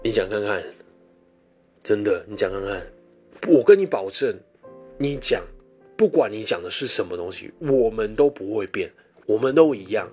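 A man's voice: on the low side, expressive, moderate pace, long pauses, darkish tone, faint music behind, natural voice. A man voicing a schoolboy crush in an anecdote, earnest and insistent, with a blunt, coaxing way of talking. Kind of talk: acted dialogue in a podcast anecdote.

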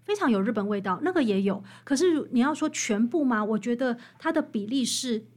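A woman's voice: a little high, lively intonation, a little fast, a little mellow. A woman with a Taiwanese Mandarin accent, an author interviewed as a guest, explaining earnestly and conversationally with repeated, parallel phrases.

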